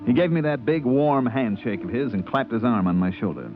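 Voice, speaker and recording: An actor playing an easygoing spaceship purser in a 1950s radio drama, with a colloquial manner. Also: male voice, medium pitch, brisk, natural voice. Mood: reflective narrating. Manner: colloquial, hesitant in dialogue. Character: easygoing spaceship purser recounting his voyages. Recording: tinny vintage radio broadcast, music behind.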